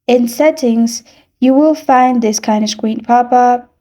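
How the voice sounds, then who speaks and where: A woman reading a scripted step-by-step tutorial in an instructional, deliberate manner. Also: a little high, dark tone. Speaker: tutorial narrator.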